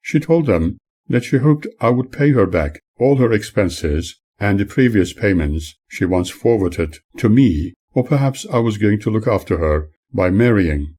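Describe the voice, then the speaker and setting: A man reading his own memoir aloud as scripted narration, in a formal, measured manner. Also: on the low side, lively intonation, darkish tone.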